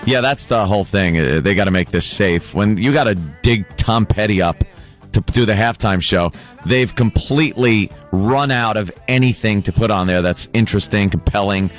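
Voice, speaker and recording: Low-voiced sarcastic male radio co-host. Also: normal speed, soft background music. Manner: sarcastic, wry. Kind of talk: casual comedic radio banter. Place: radio studio.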